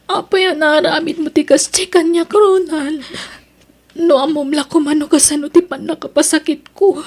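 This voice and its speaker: A woman's voice: high voice, fairly even intonation, normal speed, natural voice. A woman with an Ilocano Filipino accent playing an earnest young female student torn between lovers in an audio drama. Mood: indignant.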